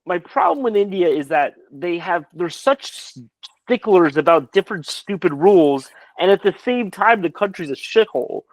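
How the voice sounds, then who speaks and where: A man whose first language is Polish, telling animated travel stories in casual chat over a video call. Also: high-pitched, normal speed, no room sound, poor audio. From United States. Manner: animated storyteller, many restarts.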